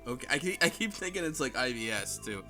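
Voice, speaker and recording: A man with a mid-range voice hosting a relaxed recap podcast. He rambles and restarts his sentences. Faint music sits behind him. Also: medium pitch, quick pace, creaky. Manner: rambling, lots of filler words and restarts. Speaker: podcast host. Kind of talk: casual chat recapping a visual novel.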